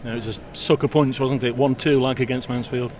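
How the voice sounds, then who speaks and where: A man, a club media interviewer asking post-match questions by the pitch. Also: steady tone, a little mellow, a little hiss or hum. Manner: brisk, conversational questioning. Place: outdoors by a football pitch.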